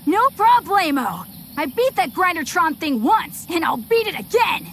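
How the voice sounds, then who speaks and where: Young boy game hero: plucky, cocky, eager, animated delivery in an extremely high register. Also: unhurried, slight background noise, exaggerated voice. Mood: confident. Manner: brash, eager. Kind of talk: animated game cutscene acting. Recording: loud music behind the voice.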